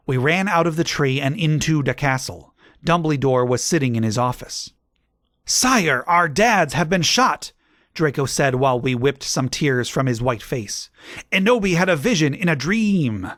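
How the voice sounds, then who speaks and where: A man's voice: a little high, lively intonation, normal speed, a bit bright, no echo, clean studio sound. A man voicing a melodramatic gothic teenage boy wizard. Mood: urgent.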